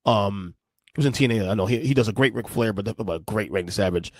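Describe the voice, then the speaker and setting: A man's voice: fairly low pitch, quick pace, darkish tone, no echo. Podcast host, man in his 40s, emphatic, rambling, swears, dry close sound. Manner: rambling, emphatic, repeats himself, curses. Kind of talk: casual commentary on video clips.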